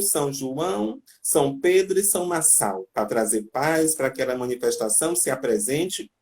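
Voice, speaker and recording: Gravelly man explaining folklore. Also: fairly high pitch, a little mellow. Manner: rambling, explanatory, many tag questions. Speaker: tourism host. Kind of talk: casual live-stream explanation.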